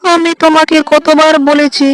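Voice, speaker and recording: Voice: little pitch movement, moderate pace, fairly bright tone. A voice actor playing a dutiful young unmarried village woman in a cartoon, earnest and pleading. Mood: scolding. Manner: earnest, pleading, somewhat shrill. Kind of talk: cartoon voice acting.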